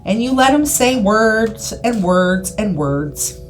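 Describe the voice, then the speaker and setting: Slow-paced woman guiding hypnosis over music. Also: mid-range voice, expressive. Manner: soothing, deliberate, repetitive phrasing with pauses. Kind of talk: scripted guided hypnosis narration. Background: background music.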